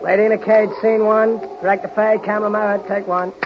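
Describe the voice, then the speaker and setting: An actor playing an eager film-set assistant bellowing clipped orders in 1930s radio comedy style. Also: male voice, high-pitched, flat delivery, fast, natural voice. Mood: formal shouting. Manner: shouted, clipped calls. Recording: very noisy, muffled 1930s radio recording.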